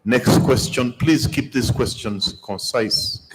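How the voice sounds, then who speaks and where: A man moderating a plenary session, brisk and directive. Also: mid-range voice, noisy background. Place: large conference hall. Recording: long hall reverb.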